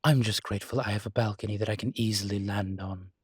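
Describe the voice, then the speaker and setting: Low, husky demon voiced by a man. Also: steady tone, a little mellow, no echo. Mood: dry, guarded. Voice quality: husky, breathy. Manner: hesitant, dry, halting phrasing. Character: weary, guarded male winged demon police consultant. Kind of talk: intimate roleplay audio drama.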